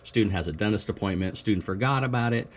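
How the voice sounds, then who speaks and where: Low-pitched male presenter, matter-of-fact informal demo narration, light background noise. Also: fast. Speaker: school technology staff presenter. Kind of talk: informal product demonstration narration.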